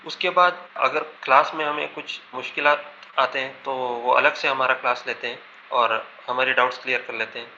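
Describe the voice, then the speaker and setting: A man's voice: medium pitch, fairly even intonation, normal speed, bright, forceful tone. A young Indian man, a student, answering interview questions over a phone call. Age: young adult. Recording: dull, noisy phone line.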